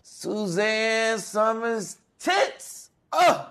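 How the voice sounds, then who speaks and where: Man as a very high-voiced stoned boy. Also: big pitch swings, drawn-out words, a bit bright, clear, resonant tone, exaggerated voice. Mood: stoned, blunt. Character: stoned younger brother, teenage boy, nervy and blunt. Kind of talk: comic screenplay table read.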